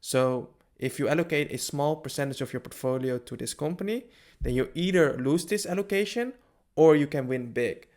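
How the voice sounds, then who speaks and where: A man's voice: on the high side, normal speed, a little mellow. A man hosting a financial analysis video, explaining points in plain, step-by-step fashion. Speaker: host of a stock analysis video.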